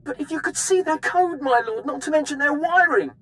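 An actor voicing an anxious, defensive young military tech specialist in a video game, hurried and pleading. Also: high-pitched, lively intonation, a bit bright. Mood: flustered.

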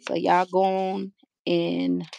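A woman's voice: on the low side, little pitch movement, takes it slowly, creaky, warm tone, highs cut off, a little hiss or hum. A middle-aged woman hosting a casual livestream from a room at home, talking easily into a webcam. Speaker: livestream host.

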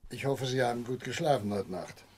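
An actor playing a paternal older family doctor in a radio play, husky, grave and consoling. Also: male voice, medium pitch, brisk, a little mellow, natural voice. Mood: kindly, reassuring.

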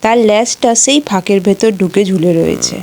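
A woman's voice: mid-range voice, normal speed, mellow, slight background noise. A woman narrating a scripted children's folktale as a storyteller.

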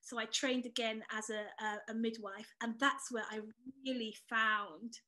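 A middle-aged woman with an English accent, chatting lively as a guest on a video call from home. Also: fairly high pitch, a little slow. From England. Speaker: guest author. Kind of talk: casual video call chat. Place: home room.

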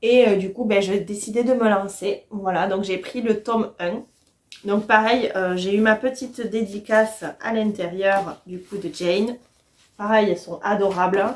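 A woman's voice: mid-range voice, steady tone, normal speed. A woman, chatting casually and enthusiastically to the camera as a book vlogger. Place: small furnished room at home, indoors.

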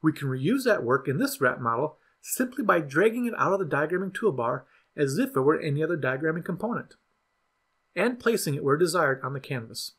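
Husky man narrating a software tutorial. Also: fairly high pitch, very animated, fairly quick. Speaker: product demo narrator. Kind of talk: scripted software tutorial voice-over.

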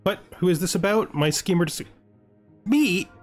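A man's voice: high-pitched, expressive, moderate pace, soft background music. A man giving casual strategy game commentary as host, thinking aloud and reading event text. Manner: thinking aloud, asking himself questions. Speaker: let's-play host.

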